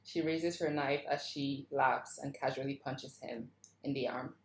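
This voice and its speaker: A woman's voice: extremely low pitch, fairly even intonation, a little slow. A woman narrator reading screenplay stage directions aloud. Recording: roomy, echoing sound.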